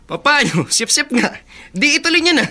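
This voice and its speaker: An actress playing a spiteful, uncaring young sibling in a radio drama, with a mocking delivery. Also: female voice, sits high, very animated, moderate pace, pushed and bright, exaggerated voice.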